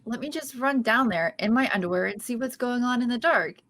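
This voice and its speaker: A woman's voice: a little high, expressive, a little fast, a bit bright. A woman reading an awkward, earnest young sitcom heroine at a comedy table read. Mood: rambling, exasperated. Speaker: guest reading the lead part at a table read.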